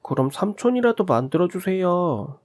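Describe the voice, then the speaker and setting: Man voicing a small child, whiny, pleading, high-pitched, storybook reading. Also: very animated, moderate pace, a little mellow, faint music behind. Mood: whining. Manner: whining, pleading. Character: little boy and little sisters, whiny children.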